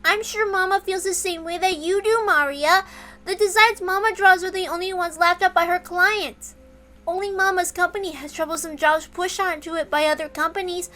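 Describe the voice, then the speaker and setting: A woman voicing a small, childlike stuffed animal who gently consoles a friend in a story reading. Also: extremely high pitch, steady tone, moderate pace. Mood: gentle, consoling. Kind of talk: dramatic reading of a visual novel.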